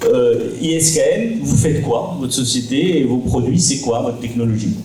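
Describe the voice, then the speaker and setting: A man's voice: mid-range voice, normal speed, mellow. A man moderating a conference panel, prompting the guest and restating his answers. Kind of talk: interviewing on a panel. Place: conference hall. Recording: echoey hall, distant mic, faint noise.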